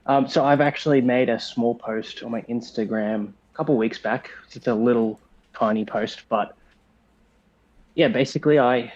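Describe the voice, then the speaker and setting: A man in his late twenties with a mid-pitched voice speaks in an easygoing, casual way. His audio comes through dull and lo-fi. The delivery is relaxed and unhurried. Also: slight background noise. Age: late 20s. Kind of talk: casual group video call chat.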